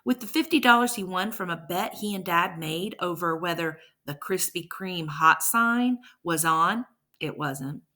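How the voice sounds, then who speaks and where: A woman's voice: fairly low pitch, bright, forceful tone. A woman teacher reading a verse story aloud with rhythmic, emphatic delivery and brief explanations.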